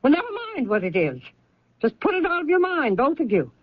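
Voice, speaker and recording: An actress playing a genteel, possessive, high-strung mother of grown children in a radio drama, fussy and emphatic. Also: big pitch swings, a little fast, no room sound. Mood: indignant, agitated. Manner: fussy, emphatic, easily agitated. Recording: muffled vintage radio drama recording.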